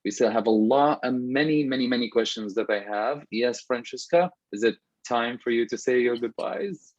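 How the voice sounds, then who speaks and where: A man's voice: medium pitch, normal speed, dry recording. A man from Syria moderating a panel over a video call, chatty and full of fillers and restarts, speaking from a room at home. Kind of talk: casual panel conversation. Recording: dull video call audio.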